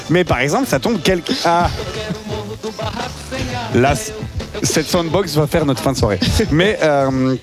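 A man co-hosts a relaxed campus radio chat with a teasing, jokey manner. His pitch sits slightly high and swings widely as he talks. Music plays clearly beneath the conversation. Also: slow pace, long pauses. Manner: animated, teasing, jokey asides. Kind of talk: casual on-air chat about music. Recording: radio studio with music playing under the talk.

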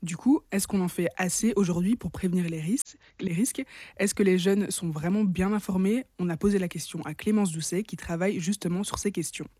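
Mellow-voiced presenter, dry close sound. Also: mid-range voice, brisk, breathy edge, dry recording. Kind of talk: scripted narration.

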